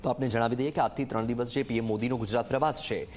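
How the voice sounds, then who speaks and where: Male news anchor, mid-pitched voice, live bulletin delivery over background music. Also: fairly even intonation, very fast. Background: music bed under the voice.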